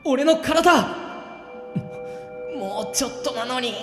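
An actor plays a wisecracking, exasperated boy in a comic radio drama. The voice leaps between muttered asides and startled retorts, swinging widely in pitch. Faint music runs underneath. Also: animated, takes it slowly. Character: exasperated, wisecracking boy trapped in a swapped body. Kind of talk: amateur comedic radio drama acting.

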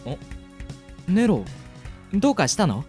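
A woman's voice: fairly low pitch, big pitch swings, unhurried, warm tone, soft background music. A woman voicing an eager, naive boy adventurer who stammers when flustered, in a radio drama.

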